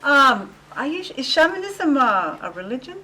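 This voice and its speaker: A woman plays a classroom teacher who leads the lesson by asking pointed questions. Her voice sits high and sounds roomy and distant, as if caught from across the classroom. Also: big pitch swings, normal speed, a little hiss or hum, exaggerated voice. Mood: doubtful. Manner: questioning, gently challenging. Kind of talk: amateur student acting.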